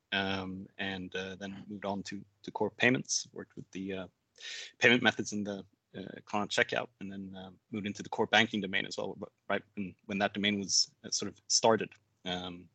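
A man's voice: low-pitched, pushed and bright, no room sound, low-quality recording. A man from Sweden, a podcast guest introducing his background in hesitant casual talk. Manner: hesitant, many ums and uhs. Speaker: podcast guest, head of product. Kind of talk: casual panel chat.

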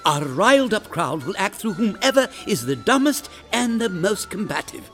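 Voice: mid-range voice, big pitch swings, normal speed, fairly bright tone, soft background music. A performer playing a skeptical, bookish adventurer in an audio drama, wordy, dry and precise. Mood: scolding.

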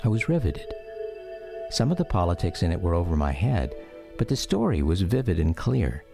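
A man's voice: sits low, big pitch swings, normal speed, gravelly, music in the background, exaggerated voice. A man performing as a reflective grown son, narrating a scripted radio drama about his father. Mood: measured reflective.

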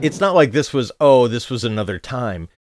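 A man's voice: mid-range voice, animated, normal speed, darkish tone, no echo. A man co-hosting a casual film podcast with wry, offhand commentary. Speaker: podcast co-host.

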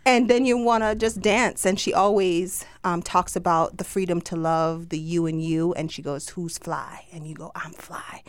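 A woman's voice: fairly low pitch, steady tone, normal speed, hardly any pauses, no echo. A woman with a Jamaican accent, a summit organizer and entrepreneur, chatting with enthusiasm and frequent laughter on a radio podcast. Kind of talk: casual chat.

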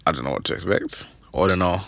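Low-voiced young man rambling about music. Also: creaky, no echo. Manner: rambling, animated, quick restarts. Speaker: podcast host. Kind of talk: casual music chat.

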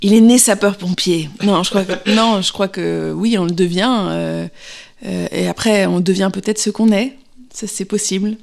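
Hesitant French actress with a gravelly edge. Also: female voice, mid-range voice, fairly even intonation, moderate pace, a little mellow. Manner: hesitant, many fillers and restarts. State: pregnant. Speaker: actress, interview guest. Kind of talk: casual interview answers.

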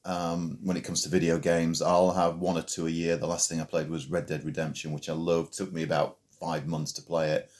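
A man hosting a film podcast, chatting in a relaxed way about what he has been playing. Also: sits low, fairly quick, hardly any pauses. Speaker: podcast host. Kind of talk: casual chat.

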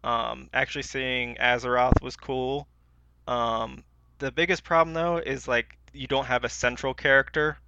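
Gravelly, bright-voiced man. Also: mid-range voice, fairly even intonation, measured pace, muffled recording. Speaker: podcast co-host. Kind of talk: casual chat about films.

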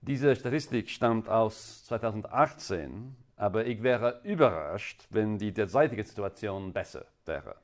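A male guest preacher with a fairly low voice and an English accent delivers a sermon very slowly. He is a native English speaker, and his non-native delivery is halting and deliberate. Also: expressive. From England.

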